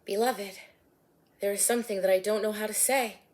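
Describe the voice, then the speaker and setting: A woman voicing a trembling young betrothed woman who speaks softly and hesitantly. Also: mid-range voice, fairly even intonation, moderate pace, voice pushed up, natural voice. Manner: soft and hesitant.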